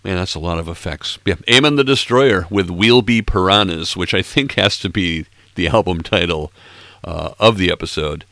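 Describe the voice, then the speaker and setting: A man in his late sixties hosts a music podcast, chatting casually between tracks with playful, self-mocking asides. His voice sits very low with a gravelly edge and a bright, forceful tone, a little hoarse. The recording is dry and close. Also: moderate pace, dry recording. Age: 60s. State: slightly hoarse, froggy throat.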